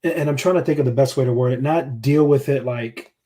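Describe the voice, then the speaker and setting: A man hosting a podcast, asking a long question and carefully searching for the right words, with many pauses and "uh"s. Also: mid-range voice, warm tone. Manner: hesitant, searches for words, fills with "uh". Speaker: podcast host. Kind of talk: interview question.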